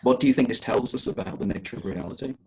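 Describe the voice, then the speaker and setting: A man's voice: mid-range voice, quick pace, gravelly, a little mellow, a little hiss or hum. A middle-aged man hosting an interview podcast, rambling and hesitant with many filler phrases. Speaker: podcast host.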